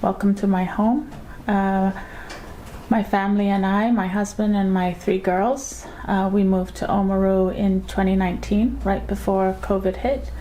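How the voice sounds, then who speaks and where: Woman, relaxed, soft fillers, faint noise. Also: mid-range voice, measured pace, low-quality recording. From Tuvalu. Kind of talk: documentary interview.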